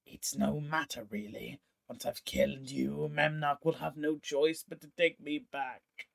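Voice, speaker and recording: A man's voice: a little high, steady tone, measured pace, vocal fry, exaggerated voice. A man voicing a jealous, cruel four-armed male Vedalken hunter who gloats and then begs, in an audiobook reading. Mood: scornful. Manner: scornful, self-pitying, pleading when threatened.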